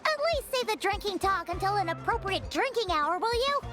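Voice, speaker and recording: A woman's voice: extremely high pitch, expressive, a little fast. An actress voicing a chiding little female companion in a video game. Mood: exasperated chiding. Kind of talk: video game dialogue acting. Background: music.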